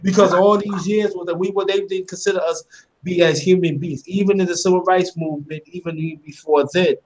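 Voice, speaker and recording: Host, man, 50s, high voice, earnest, repeats openers. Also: steady tone, brisk, a little mellow, low-quality recording. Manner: earnest, repeats words when starting sentences. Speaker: channel host interviewing a guest. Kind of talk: casual interview chat.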